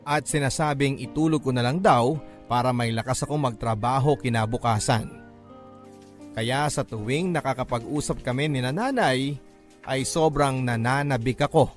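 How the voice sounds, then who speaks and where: A man with a Filipino accent narrating an emotional first-person story in radio drama style. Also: medium pitch. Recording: music under the voice.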